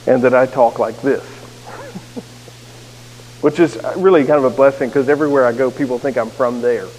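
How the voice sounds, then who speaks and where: A man's voice: mid-range voice, moderate pace, darkish tone. A man with a neutral American accent preaching a sermon as pastor, earnest with touches of self-deprecating humor. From United States. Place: church sanctuary. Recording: echoey sanctuary with faint hiss.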